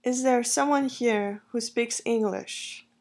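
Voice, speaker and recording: Slow, high-voiced woman teaching phrases. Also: a little mellow. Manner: slow, carefully repeated phrases. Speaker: language teacher. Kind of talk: phrase-drill lesson narration.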